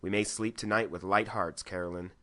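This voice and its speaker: A man voicing an honest, earnest husband in a dramatised storybook reading, with a measured, formal delivery. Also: low voice, steady tone, bright, forceful tone. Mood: relieved, tender. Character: relieved, honest indebted husband.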